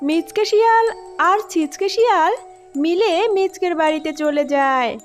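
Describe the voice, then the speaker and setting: A woman's voice: extremely high pitch, lively intonation, normal speed, soft background music. A woman narrating a scripted cartoon story.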